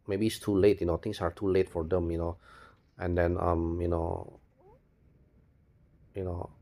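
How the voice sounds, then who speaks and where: A man who is a non-native English speaker, giving a spontaneous, rambling monologue as a video blogger, halting and often repeating his words. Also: deep voice, little pitch movement, mellow. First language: not English. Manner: repetitive, halting, restarts words and phrases often.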